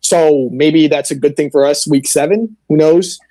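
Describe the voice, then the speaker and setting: A man's voice: fairly high pitch, normal speed, dark tone, no echo. A man giving blunt, opinionated sports analysis casually as a fan panelist.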